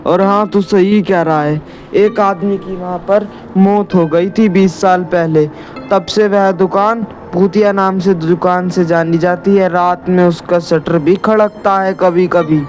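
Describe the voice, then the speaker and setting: A man's voice: sits high, steady tone, a little slow, darkish tone, faint music behind, voice pushed up. A man voicing an elderly villager, earnest and foreboding, in a cartoon horror story. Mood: grave, consoling.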